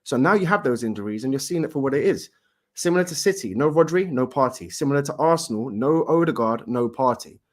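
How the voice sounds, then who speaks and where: Fast-talking man from Morocco. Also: mid-range voice, animated. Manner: emphatic, argumentative. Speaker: panelist. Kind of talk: heated football debate.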